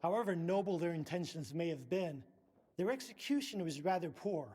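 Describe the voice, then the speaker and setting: High-voiced, pompous male scientist. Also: animated, moderate pace, warm tone. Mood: dry, lecturing. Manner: formal, precise, condescending. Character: pompous middle-aged male scientist, director of research center. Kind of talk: video game dialogue.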